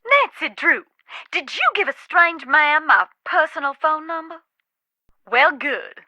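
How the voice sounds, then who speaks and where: A haunted, wry woman from a video game, with an American Southern accent. Her voice is fairly high and very bright, recorded close and dry. She talks in a folksy, dramatic way, teasing yet knowing about the dead. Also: lively intonation, measured pace, dry recording, full character voice.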